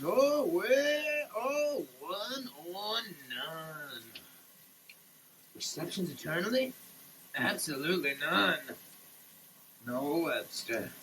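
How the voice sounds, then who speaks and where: A man's voice: fairly high pitch, a bit bright. A man giving a dramatic reading in an emphatic, declamatory manner. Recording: distant mic, faint noise.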